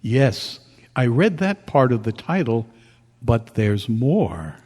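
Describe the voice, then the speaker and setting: Male, gentle radio-play storyteller read by a man, unhurried, echoing hall. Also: medium pitch, sing-song. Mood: gentle, concerned. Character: Colonel Bear, kindly listener comforting a ghost, also narrator. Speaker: narrator and actor. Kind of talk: staged radio drama reading. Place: live club meeting in a hall. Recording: echoey hall with long reverb.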